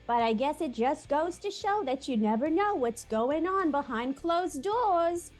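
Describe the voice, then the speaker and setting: Woman acting a high-voiced, dramatic, sympathetic parent. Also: animated, measured pace, darkish tone, faint music behind. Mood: saddened. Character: shocked, sympathetic, naive parent hearing gossip. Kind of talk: amateur live script table read.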